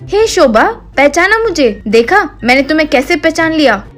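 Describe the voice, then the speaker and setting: A boastful, well-off lady, put on by a woman narrator, talks in a high, showy voice in a moral tale. Music sits faintly behind her. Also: sing-song, normal speed. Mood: gleeful, showy. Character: showy, boastful rich lady, an old classmate.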